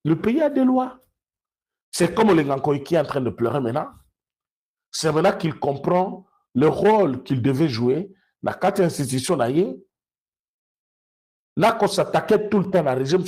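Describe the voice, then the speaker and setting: Casting: male political commentator, Congolese accent, slightly high register, rough husky tone, emphatic unscripted delivery with repetition for stress. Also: dark tone. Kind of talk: unscripted political commentary, switching between two languages.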